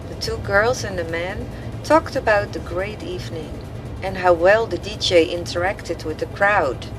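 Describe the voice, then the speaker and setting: A woman reading a scripted story as narrator, in a measured, read-aloud manner. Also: on the low side. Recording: clearly noisy recording. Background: car and vehicle sound effects, ambience.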